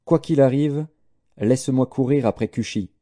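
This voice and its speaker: A man's voice: medium pitch, normal speed, warm tone, lightly acted. A man voicing an eager messenger who declaims news deferentially to a king. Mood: eager proclaiming.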